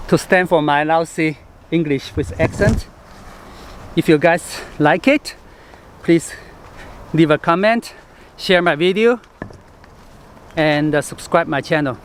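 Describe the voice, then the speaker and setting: A man from Chengdu with a Chinese accent, a travel vlogger talking casually to camera outdoors, halting and full of fillers. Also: on the high side, slight background noise. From Chengdu, China. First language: Sichuanese. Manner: chatty, halting, with frequent fillers and laughs. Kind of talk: casual vlog talk to camera. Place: outdoors in the city.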